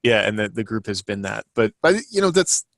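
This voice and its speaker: A man's voice: lowish voice, very animated, a little fast, creaky, fairly bright tone, dry recording, studio quality. A man co-hosting a casual podcast, rambling and thinking aloud as he talks. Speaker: podcast co-host and co-author.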